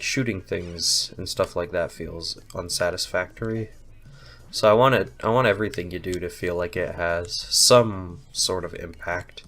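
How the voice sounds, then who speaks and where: A young man with a cold, sounding nasal and a little hoarse, rambling casually through a voice-over of his own game with self-deprecating asides. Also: low voice, fairly bright tone. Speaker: indie game developer. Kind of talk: casual gameplay voice-over commentary.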